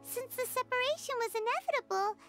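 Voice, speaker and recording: A tiny female fairy sidekick from an anime game with an extremely high, squeaky childlike voice. She is earnest and caring, swinging widely in pitch over soft music. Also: expressive, fairly quick, exaggerated voice. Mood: gently reassuring. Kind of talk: anime video game acting. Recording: music behind.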